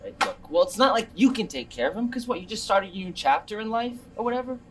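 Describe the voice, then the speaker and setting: Guy playing a cocky defensive american brother, voice a bit high, some noise behind him. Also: animated, fast. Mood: serious, worried. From Virginia, United States. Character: defensive adult brother putting on swaggering Italian airs, worried underneath. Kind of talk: naturalistic film dialogue.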